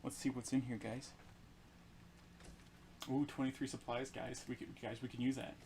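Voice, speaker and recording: A man in an average register talks through a game on a live stream. His talk is blunt and full of swearing, and it flares into loud, excitable bursts. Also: mid-range voice, moderate pace, gravelly, slight background noise. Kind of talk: casual game stream chat.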